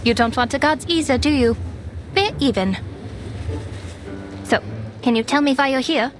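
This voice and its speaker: A self-assured woman psychiatrist from a video game, played by an actress, with a fairly high voice. Proud and shrewd, the character talks briskly with dry wit over faint music. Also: natural voice. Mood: guarded.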